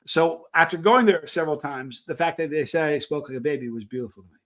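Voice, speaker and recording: Middle-aged male streamer, voice a little on the high side, informal chat with viewers, animated anecdote teller. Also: fast talker. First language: English. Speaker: live stream host. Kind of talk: casual chat answering live viewers, telling travel anecdotes.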